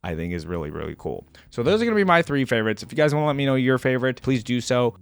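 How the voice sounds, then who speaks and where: A man giving casual, off-the-cuff reaction commentary as a video creator, thinking aloud in a conversational way. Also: medium pitch.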